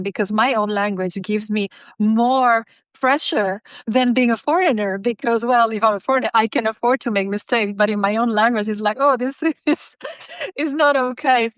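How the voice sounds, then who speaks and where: A woman's voice: mid-range voice, fairly bright tone, smooth, dry recording. A woman whose first language is Spanish, answering interview questions in an earnest, reflective way with some fillers. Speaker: podcast guest, business writer.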